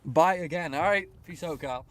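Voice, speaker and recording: A man's voice: on the high side, brisk. Chatty young Canadian man livestreaming outdoors. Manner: rambling, repeats words, chuckles often. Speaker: fishing livestreamer. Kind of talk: casual live-stream chat reading comments. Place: outdoors at a lake.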